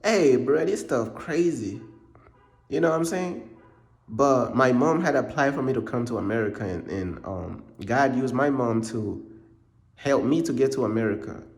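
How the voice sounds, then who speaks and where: A young man from Uganda tells a story in a loose, rambling way, dropping "bro" into nearly every sentence. His voice sits in a middle pitch, and an echoey room rings around him. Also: animated, normal speed, gravelly. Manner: laid-back, rambling, says "bro" constantly. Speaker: faith vlogger. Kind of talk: casual storytelling.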